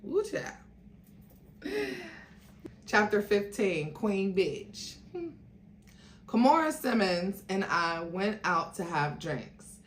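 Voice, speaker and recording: A woman host reading a book aloud as theatrical narration, with expressive asides. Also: medium pitch, slow, long pauses. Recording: echoey room, faint background noise.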